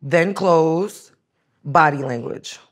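A woman's voice: deep voice, slow, breathy edge. A woman in her thirties from New York, talking frankly and animatedly as an interview guest. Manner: frank, animated, voices others in quotes. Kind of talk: candid interview storytelling. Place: studio set, indoors.

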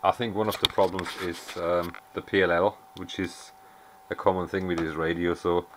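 Clear background noise sits under a man's very low voice, caught on a camera mic in a small workshop. He gives an unscripted technical walkthrough as a repairer. His manner is matter-of-fact, working things out aloud as he goes. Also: little pitch movement, moderate pace. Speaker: amateur radio repairer presenting. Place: small indoor workshop at an electronics bench.

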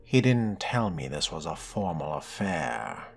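A man voicing a composed, wry vampire fledgling in video game role-play, musing in a measured, hushed way. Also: a bass voice, very animated, creaky, a little hiss or hum, full character voice. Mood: terse, calm. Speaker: player role-playing the protagonist. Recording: loud game music behind.

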